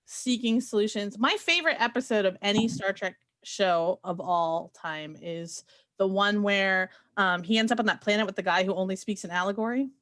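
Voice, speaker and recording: A woman's voice: medium pitch, moderate pace, fairly bright tone, no echo. A woman chatting casually as a webcast guest, explaining things with enthusiasm. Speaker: guest on a webcast, Spanish professor. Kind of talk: casual chat.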